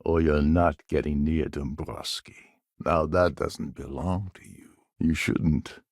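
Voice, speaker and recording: An actor voicing a cynical, scheming space pirate pilot in a video game, with a gravelly, husky voice and a wry delivery. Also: male voice, rumbling low, steady tone, takes it slowly, a little mellow, no room sound, studio quality, heavily acted. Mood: wry, guarded. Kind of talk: video game character dialogue.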